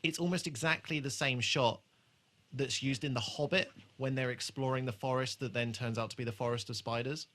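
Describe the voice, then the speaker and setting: A man's voice: medium pitch, steady tone, brisk, fairly bright tone. A man hosting a casual podcast review in an informal, sweary way. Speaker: podcast host. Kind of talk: casual chat reviewing a TV episode.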